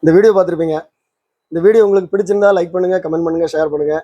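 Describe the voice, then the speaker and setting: A man's voice: high-pitched, fairly even intonation, rapid-fire, dark tone, no echo, highs cut off. A man hosting a casual product demonstration, explaining things and mixing in English words. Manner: explanatory, mixes English words into his talk. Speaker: channel host and electronics maker demonstrating a kit.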